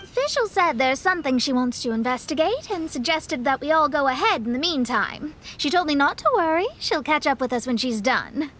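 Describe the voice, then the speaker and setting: A level-headed young adventurer. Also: female voice, high-pitched, lively intonation, normal speed, few pauses, a bit bright. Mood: earnest. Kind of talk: video game voice acting.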